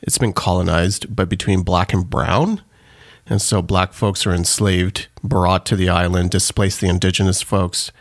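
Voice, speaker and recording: A man's voice: sits low, fairly even intonation, moderate pace, dry recording, studio quality. A man from Trinidad, an author and pastor, speaking earnestly as an interview guest and explaining his ideas at length. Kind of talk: interview conversation.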